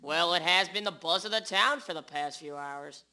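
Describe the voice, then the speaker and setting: Genial small-shop tailor male actor, higher and very sharp voice, hobby radio drama, rough sound. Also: moderate pace. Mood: intrigued. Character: genial male shopkeeper tailor, detective's old friend. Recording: rough amateur recording.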